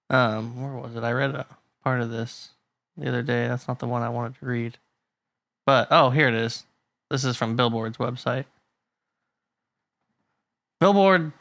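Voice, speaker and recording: A man co-hosting a casual music podcast, talking loosely with a mid-range pitch. He laughs easily and sometimes reads aloud from websites. The sound is dry and close. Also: fairly bright tone, no echo. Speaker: podcast co-host. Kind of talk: casual chat.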